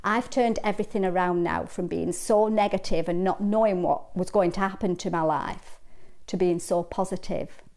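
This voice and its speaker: A woman's voice: fairly low pitch, fairly even intonation, moderate pace, darkish tone. A woman with a broad Lancashire accent, speaking candidly and plainly about her own experience, with a few hesitant fillers. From Lancashire, England. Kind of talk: candid personal account.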